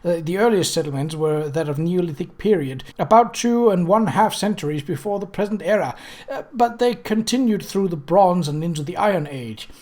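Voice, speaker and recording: A man's voice: sits high, lively intonation, normal speed, darkish tone. A man doing a droll continental antiquarian. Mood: lecturing. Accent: continental European. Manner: droll lift at the end of each sentence. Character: odd continental male antiquarian, droll, fussy.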